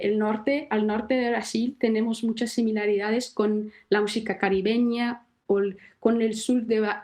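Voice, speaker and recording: A woman from Brazil answers interview questions in Spanish, her second language, with a clear Portuguese accent. She speaks carefully, stopping and restarting as she hunts for words. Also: medium pitch, a little mellow. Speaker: guest singer-songwriter. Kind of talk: casual interview talk.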